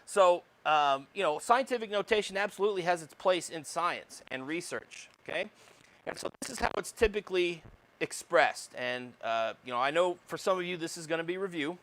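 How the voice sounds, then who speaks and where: Loud, projecting male electronics lecturer. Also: on the high side, animated, normal speed, rough recording. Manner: loud projecting voice, says he yells; frequent fillers and tag questions. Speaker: college electronics instructor. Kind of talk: recorded lecture. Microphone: lapel mic.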